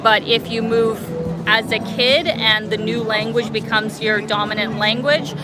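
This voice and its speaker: A woman's voice: fairly high pitch, little pitch movement, unhurried. Young woman livestreaming from a street march. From Canada, born in Russia. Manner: rambling, frequent fillers, thinking aloud. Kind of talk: casual livestream chat answering viewers. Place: outdoors at a city street march. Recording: outdoor livestream over loud music. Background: loud music from the march.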